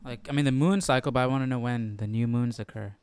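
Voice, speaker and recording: A man's voice: mid-range voice, fast talker, a little mellow, clear, resonant tone, dry recording. A man hosting a Bible study livestream, chatting casually and thinking aloud in a curious, musing way.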